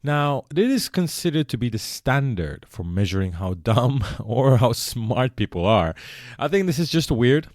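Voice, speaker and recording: A man's voice: medium pitch, big pitch swings, unhurried, a little mellow, no room sound, studio quality. A man born in Iran and raised speaking Persian, hosting a solo podcast, explaining earnestly with frequent self-corrections. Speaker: podcast host.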